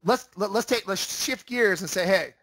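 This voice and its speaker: A man's voice: high voice, normal speed, a bit bright, dry recording. A man instructing in an informal screen-share lesson, explaining emphatically and repeating himself for stress. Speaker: trading room instructor. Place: indoors, voice-over for screen share.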